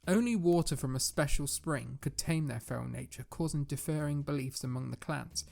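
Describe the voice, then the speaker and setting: Creaky-voiced, solemn fantasy lore-teller played by a man. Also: on the high side, moderate pace, a little mellow. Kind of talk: visual novel voice acting.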